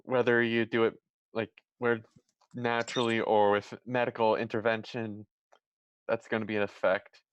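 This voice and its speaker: A person in casual group chat, relaxed and agreeable, thinking aloud with a few restarts. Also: male voice, on the low side, normal speed, clean tone, dry recording, muffled recording. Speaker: podcast host.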